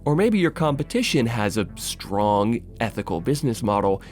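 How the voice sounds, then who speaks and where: A man's voice: medium pitch, big pitch swings, normal speed, a little mellow, soft background music. A man narrating a scripted video essay in a conversational, sardonic and emphatic way, with casual asides and swearing.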